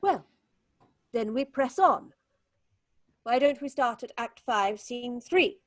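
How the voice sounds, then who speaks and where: A woman acting in an audio horror drama as a proud, haunted theatre director, husky and commanding in a clipped, theatrical way. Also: on the high side, lively intonation, takes it slowly. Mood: icy composure. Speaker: actress.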